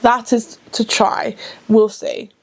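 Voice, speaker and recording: A woman's voice: a little high, level tone, husky. Chatty woman around thirty. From England. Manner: chatty and rambling, with frequent self-corrections; rushed at times. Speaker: book vlogger. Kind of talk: casual to-camera vlog.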